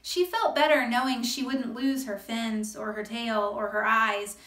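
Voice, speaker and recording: A woman in her thirties hosting story time for young children, expressive, animated and encouraging, in simple phrases. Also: a little high, unhurried. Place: small room with cloth backdrop. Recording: roomy echo, music behind.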